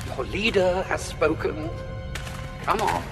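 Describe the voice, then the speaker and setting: An actor playing a curious, observant prisoner, a well-travelled time traveller, in an audio drama with a thoughtful manner. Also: male voice, high voice, slow, gravelly, long reverb tail, loud music behind the voice, exaggerated voice.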